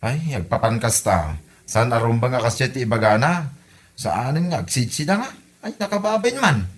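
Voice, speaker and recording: An exasperated village husband acted by a man in a comedy audio drama. He exclaims indignantly, his mid-pitched voice swinging widely. He sounds embarrassed and put out. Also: sing-song, moderate pace, lightly acted. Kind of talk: comedy radio drama acting.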